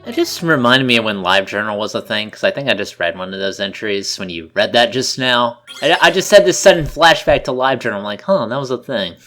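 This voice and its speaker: Man in casual game commentary, mid pitch. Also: lively intonation, fast, few pauses, a bit bright. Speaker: co-commentator on a video game playthrough. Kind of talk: casual chat. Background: game music.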